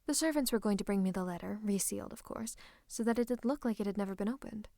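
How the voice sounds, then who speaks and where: A woman playing a scheming royal advisor in a fantasy roleplay, speaking close to the listener in a coaxing, teasing, falsely comforting way with breathy asides. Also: mid-range voice, quick pace, dark tone, dry recording, natural voice. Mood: flustered, conspiratorial. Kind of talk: roleplay ASMR monologue to the listener. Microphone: close microphone.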